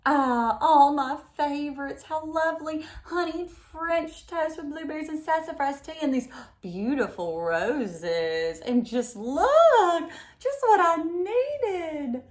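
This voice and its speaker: Woman acting a high, gushing mother bear. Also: slow pace. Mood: delighted. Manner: exclaiming, gushing. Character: sweet, gracious, easily flustered mother bear.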